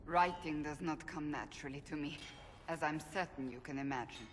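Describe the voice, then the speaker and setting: An actress playing a stern, devout, earnest warrior in a video game, speaking formally. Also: female voice, deep voice, fairly quick, lightly acted. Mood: self-critical, intent. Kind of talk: video game dramatic acting. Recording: long reverb, background noise.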